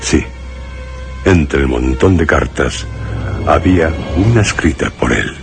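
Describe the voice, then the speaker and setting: An actor from a Spanish radio drama playing a haunted, horrified man who recounts his ordeal in grave, halting phrases with a Castilian accent. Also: extremely low pitch, expressive, gravelly, heavily acted. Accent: Spain. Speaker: first-person narrator in a radio drama. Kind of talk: suspense and horror radio drama narration. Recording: music under the voice. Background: prominent music.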